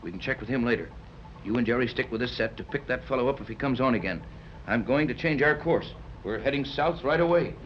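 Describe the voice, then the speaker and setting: Actor as a steady 1930s radio-serial captain. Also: male voice, mid-range voice, lightly acted. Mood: brisk, decisive. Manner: brisk, authoritative, explanatory. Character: steady, capable male yacht captain and radio expert. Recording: dull, noisy 1930s radio recording.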